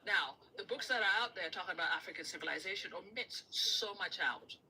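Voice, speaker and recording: High, bright-voiced man from Uganda. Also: brisk, distant mic, rough recording. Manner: earnest, thoughtful, with frequent restarts. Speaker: panel guest. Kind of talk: live stream panel discussion.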